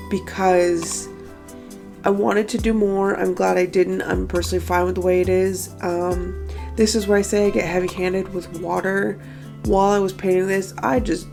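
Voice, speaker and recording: Hoarse gravelly woman over soft music. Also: mid-range voice. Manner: chatty, self-critical, many fillers. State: hoarse, stuffy morning voice. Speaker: art vlogger. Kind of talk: casual talking-head recap.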